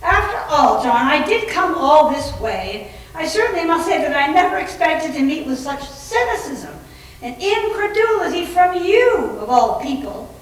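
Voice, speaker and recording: A woman in a readers theater playing a pompous, indignant wife who scolds with drawn-out declamation. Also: sits high, very animated. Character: haughty, aggrieved wife scolding her sceptical husband. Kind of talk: readers theater dramatic reading. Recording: very echoey room, distant mic.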